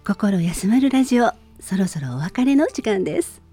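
A woman's voice: medium pitch, big pitch swings, moderate pace, faint music behind. A woman radio host speaking politely in a friendly, cheerful hosting and interview style. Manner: polite, bright-spirited.

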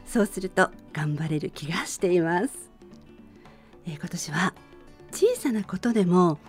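A woman hosting a gentle bedtime radio chat, speaking politely and conversationally straight to her listeners. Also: medium pitch. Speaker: radio show host and band vocalist.

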